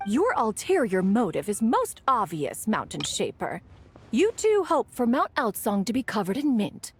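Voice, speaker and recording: A woman's voice: a little high, huge pitch swings, moderate pace, soft background music. A woman voicing a haughty, dignified immortal sage in a video game, speaking in formal, archaic phrasing. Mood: haughty, sardonic. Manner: formal, archaic, calls self "one". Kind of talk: anime-style video game voice acting.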